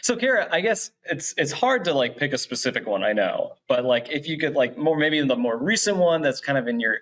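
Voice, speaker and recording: A man's voice: fairly high pitch, sing-song, brisk, fairly bright tone. A man hosting a casual interview, speaking in an effusive, affirming way. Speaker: interviewer, host.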